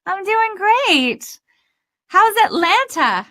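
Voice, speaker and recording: A woman's voice: very high-pitched, very animated, very slow, pushed and bright. A woman voice coach hosting a chatty live interview. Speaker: host and voice coach.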